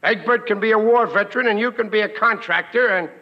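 A man's voice: high-pitched, fast talker. An actor playing a blustering, doting working-class New York father, middle-aged and ungrammatical, in 1940s radio comedy style. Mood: flustered, blustering. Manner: folksy, ungrammatical, blustery scolding and reassuring. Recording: muffled vintage radio recording in hall.

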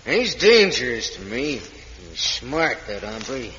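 Nasty american western bad guy, sharp voice, surly, old radio show, long echo. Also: mid-range voice, big pitch swings, slow pace, a little hiss or hum. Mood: scheming. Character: surly, scheming western mine-grabbing villain. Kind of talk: 1950s radio western acting. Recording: echoey, dull old radio recording.

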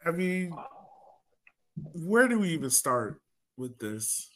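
Higher-voiced man hosting casual sports chat. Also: very animated, takes it slowly, a bit bright. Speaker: podcast host.

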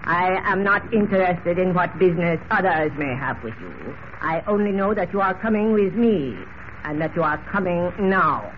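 A menacing Egyptian gunman played by a male actor speaks in a high, deliberate voice, formal and imperious. It is classic radio serial villainy, heard through a thin, boxy old recording with a light hiss. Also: dull audio, close to an everyday voice.

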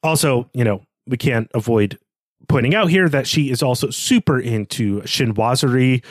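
A man's voice: medium pitch, animated, moderate pace, no echo, studio quality. A man co-hosting a podcast, talking through a literary discussion in an analytical, explanatory way with clarifying asides. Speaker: podcast co-host.

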